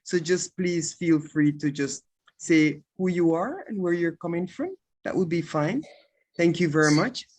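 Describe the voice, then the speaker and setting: A woman's voice: on the low side, a little slow, a little mellow. A woman hosting an online meeting, politely inviting people to introduce themselves over a video call. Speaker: meeting host.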